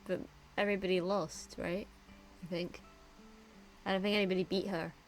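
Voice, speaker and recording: A woman's voice: lowish voice, flat delivery, moderate pace, faint music behind, rough recording. A woman streamer chatting casually and laughing as she reacts to a game. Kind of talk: casual gameplay commentary.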